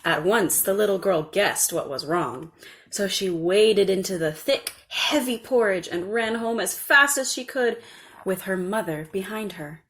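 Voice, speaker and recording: Woman narrating a children's story. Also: medium pitch. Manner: measured, expressive.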